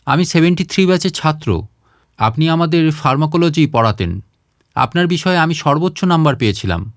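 A man narrates a literary short story with expressive delivery. His voice sits a little high and moves widely in pitch. The sound is dry, with hardly any room in it. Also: dry recording. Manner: expressive, acts out dialogue.

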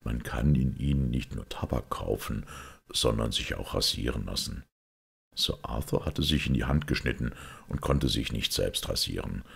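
A man reading the part of a shrewd, calm priest detective in an audiobook, in a measured, deliberate way. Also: rumbling low, gravelly, slightly rough, heavily acted. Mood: knowing.

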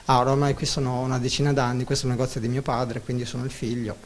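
A man's voice: mid-range voice, level tone, racing, slight background noise. A man, running his father's shop, answering hesitantly. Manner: hesitant, tags with ecco. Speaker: shopkeeper's son. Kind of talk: interview answer. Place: small shop. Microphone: handheld interview mic.

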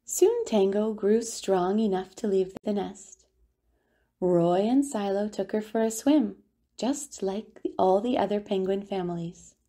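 A woman reading a children's picture book aloud as a storyteller, with expressive, deliberate delivery and drawn-out words. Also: mid-range voice. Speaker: children's storyteller at a family centre.

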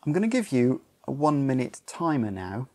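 A man's voice: medium pitch, big pitch swings, fairly quick, darkish tone. An older man leading a church service for people at home, explaining simply and giving instructions to families. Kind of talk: instructional talk to a home congregation. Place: living room at home, indoors.

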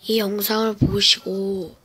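A woman vlogger whispering a spooky personal story at home in a slow, hesitant way, with many fillers. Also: medium pitch, flat delivery, a bit bright. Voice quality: breathy whisper. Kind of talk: whispered casual storytelling to camera. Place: home, indoors.

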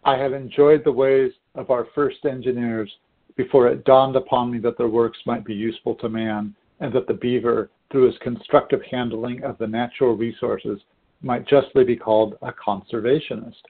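A man reads literary prose aloud in a medium-pitched voice. The recording is thin and narrow, like a phone call. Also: flat delivery, moderate pace. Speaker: fisheries scientist reading aloud. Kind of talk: literary narration read aloud. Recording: tinny phone-like audio.

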